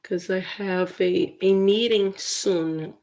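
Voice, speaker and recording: A woman's voice: mid-range voice, fairly even intonation, slow pace, a little mellow. A woman from Brazil whose first language is Portuguese, teaching English in a chatty live stream with many fillers and restarts. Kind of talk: casual livestream lesson.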